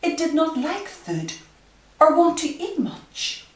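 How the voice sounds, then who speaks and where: A woman reading a children's picture book aloud expressively, with dramatic emphasis and drawn-out key phrases. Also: extremely high pitch, sing-song. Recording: roomy echo, distant microphone.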